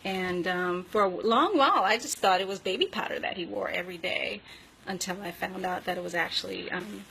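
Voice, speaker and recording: A middle-aged woman telling casual, giggly anecdotes as a vlog host, acting out quotes in an animated way. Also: lowish voice, a little fast, gravelly, crowd noise, rough recording. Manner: giggly, animated when quoting people. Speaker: vlog host and memoir author.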